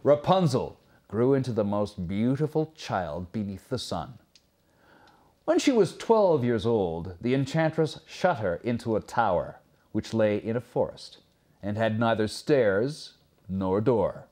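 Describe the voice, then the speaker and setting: Animated male fairy-tale storyteller. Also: on the low side. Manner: dramatic, expressive reading with pauses. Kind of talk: scripted fairy-tale narration.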